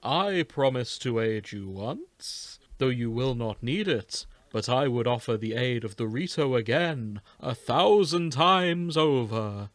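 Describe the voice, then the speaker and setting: A man voicing a solemn, grateful warrior spirit with a slow, stately, formal delivery in an amateur dramatic reading. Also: medium pitch, animated, fairly bright tone, no room sound.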